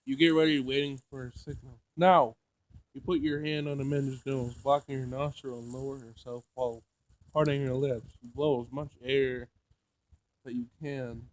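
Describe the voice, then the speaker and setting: A man playing through a visual novel, reading it aloud in an emotional, halting way with dramatic outbursts. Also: mid-range voice, flat delivery, low-quality recording. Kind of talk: let's play narration with loud reactions.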